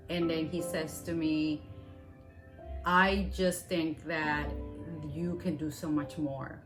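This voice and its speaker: A woman's voice: sits low, steady tone, slow. A woman from Venezuela, a native Spanish speaker, speaking with a Venezuelan Spanish accent in a documentary interview, stringing long sentences together with "and then". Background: background music.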